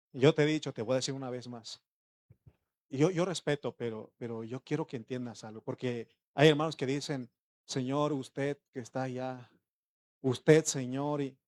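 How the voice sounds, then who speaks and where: Conversational preacher, rhetorical questions. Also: male voice, medium pitch, no echo. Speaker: pastor. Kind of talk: informal sermon.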